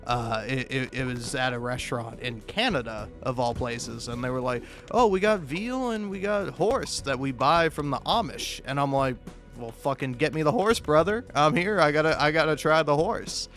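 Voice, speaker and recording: A man's voice: medium pitch, normal speed, fairly bright tone. A man in a rambling, comedic off-the-cuff monologue, stammering and restarting words, sweary and self-deprecating. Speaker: video maker. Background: background music.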